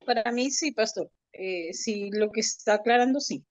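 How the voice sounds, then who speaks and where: A woman's voice: mid-range voice, moderate pace. A woman in a church Bible class giving brief, polite answers over a video call. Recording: dull lo-fi call audio.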